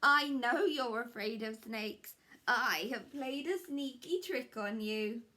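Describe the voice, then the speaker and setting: A woman's voice: on the high side, takes it slowly, pushed and bright. A woman voicing a mischievous male triangle character from a picture book, sounding smug and sly.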